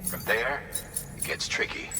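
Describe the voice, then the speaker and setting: An actor playing an earnest, authoritative male mission commander who gives measured, instructive briefings in a video game. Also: natural voice. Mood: businesslike. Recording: long reverb, noisy ambience.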